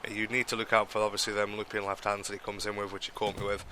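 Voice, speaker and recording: A young man talks over his boxing game in a low, nasal voice, his nose blocked by a cold. His delivery stays flat and even, wandering through ums and restarted sentences as he explains his tactics. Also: fast, a crowd in the background. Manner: rambling, many ums and restarts. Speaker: gaming commentator. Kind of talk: casual gameplay commentary.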